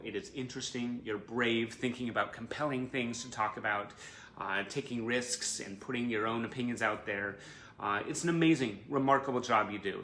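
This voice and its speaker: A man's voice: fairly low pitch, sharp tone. A man hosting a casual livestream for fans, speaking in an effusive, gushing and encouraging way. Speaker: host of a fan livestream show. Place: indoor room, live online stream. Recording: roomy echo, faint background noise.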